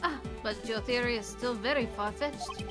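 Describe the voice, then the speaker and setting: A woman's voice: fairly high pitch, moderate pace, close to an everyday voice. A woman voicing a haughty, combative young prosecutor with a scornful delivery in a comedic game dub. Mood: haughty, challenging. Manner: pompous, scornful. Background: game music.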